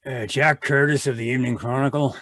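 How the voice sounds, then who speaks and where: A man's voice: medium pitch, steady tone, a little fast, a bit bright, close to an everyday voice. Shrewd, polite newspaper reporter played by a man. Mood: polite, probing. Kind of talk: old-time radio mystery acting. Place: remote video call performance. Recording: live video-call recording, dry.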